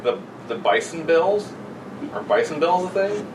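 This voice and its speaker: A man's voice: on the high side, sing-song, measured pace. Crude, sarcastic man in a noisy, echoey room. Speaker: podcast co-host. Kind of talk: casual joking chat and mock reading of an internet horror story. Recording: roomy, noisy, distant microphone.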